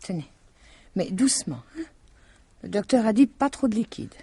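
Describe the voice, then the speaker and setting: An actress playing a blunt, devoted middle-aged housekeeper in a French radio mystery, husky-voiced, with a motherly, scolding manner. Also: mid-range voice, very animated, normal speed, dark tone, a little hiss or hum. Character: blunt, fussing, devoted housekeeper, mature woman.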